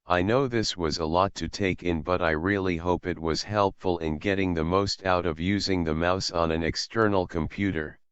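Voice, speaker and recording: A man calmly and methodically walking through a screen-recorded software tutorial as an instructor. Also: deep voice, moderate pace, hardly any pauses, smooth, no echo. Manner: calm, methodical explaining. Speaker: assistive technology instructor. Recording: slightly dull, dry voice-over.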